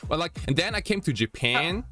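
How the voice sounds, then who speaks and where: A man of twenty-nine from Germany chats casually as a podcast host, rambling and halting with many fillers. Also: on the high side, big pitch swings, bright, forceful tone. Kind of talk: casual chat. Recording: music behind the voice. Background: faint background music.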